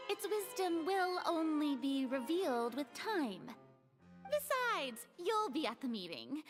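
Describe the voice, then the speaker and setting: High, theatrical young female ruler. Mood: lofty. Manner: expressive, pleading, self-important. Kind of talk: scripted video game acting.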